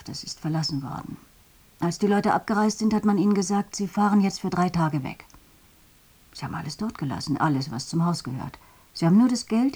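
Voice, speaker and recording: A woman's low, husky voice in a radio drama. She recounts what she has seen in plain, vivid storytelling, quietly haunted. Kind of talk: testimony monologue in radio drama.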